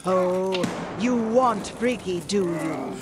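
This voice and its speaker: A man telling an absurd story slowly and theatrically in a clip played during a stream. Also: high-pitched, lively intonation, noisy background.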